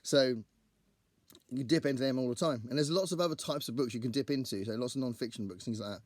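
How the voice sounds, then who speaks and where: A man hosting his own book channel, talking casually to camera in a rambling, think-aloud way, his voice croaky from singing at a gig the night before. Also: mid-range voice, fast talker, no room sound.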